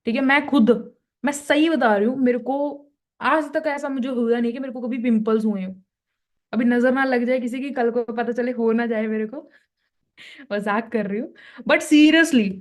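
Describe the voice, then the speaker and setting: A woman's voice: on the high side, a little mellow. Chatty young woman giving a sales pep talk. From Punjab, India. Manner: chatty and persuasive, mixing in English phrases. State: slightly sore throat. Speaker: team leader giving a training session.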